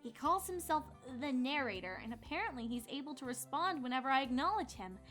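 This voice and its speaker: A woman acts out a young female pony student from a cartoon fan story. The voice is high, girlish and bright, with an earnest, excitable manner that flusters easily. Music plays behind it. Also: a little fast. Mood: hesitant, earnest. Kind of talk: cartoon-style fan fiction dramatization.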